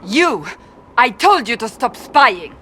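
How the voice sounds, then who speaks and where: A woman's voice: a little high, big pitch swings, takes it slowly, fairly bright tone, heavily acted. An actress playing a stern, proud woman warrior in a video game, clipped and defensive, earnest underneath. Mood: flustered, indignant. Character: stern proud woman warrior, flustered secret romance reader.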